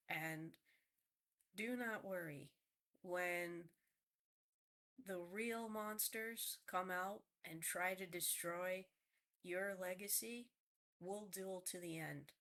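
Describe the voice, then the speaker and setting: A woman's voice: mid-range voice, fairly bright tone. A woman with a nasal voice just after a cold, rambling earnestly in a casual vlog monologue. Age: adult. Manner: rambling, earnest, restarts often. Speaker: vlogger. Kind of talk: casual heartfelt tribute monologue.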